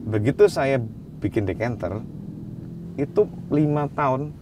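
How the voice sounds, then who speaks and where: A man answering interview questions as a wine expert, relaxed, slipping English phrases into his speech. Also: mid-range voice, a little slow, background noise.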